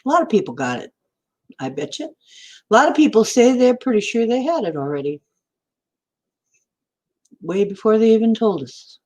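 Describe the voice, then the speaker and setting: A woman's voice: fairly high pitch, big pitch swings, normal speed, long pauses, darkish tone. A woman in her sixties chatting in a meandering way on a livestream, answering viewer comments as she goes. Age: 60s. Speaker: livestream host. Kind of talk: rambling livestream chat.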